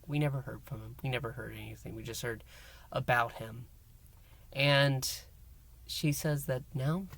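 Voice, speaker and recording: A man presents a review as the host of a channel, talking straight to his viewers. His delivery is halting and emphatic, with dramatic pauses and stressed words. His voice sits at a medium pitch. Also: creaky, pushed and bright. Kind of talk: review and recap monologue to viewers.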